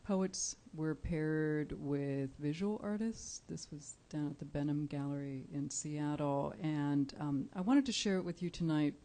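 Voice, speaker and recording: A woman from Cuba, a Spanish speaker, giving a live poetry reading, measured and deliberate with soft pauses. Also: low-pitched. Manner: measured, deliberate, pausing often with soft fillers. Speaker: featured poet. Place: indoor live reading event.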